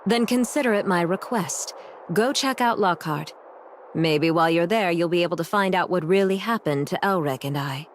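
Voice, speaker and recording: A woman's voice: fairly low pitch, moderate pace, slight background noise, close to an everyday voice. A woman voicing a sardonic, commanding doctor in an anime game, with a dry, weary, curt way of talking. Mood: firm.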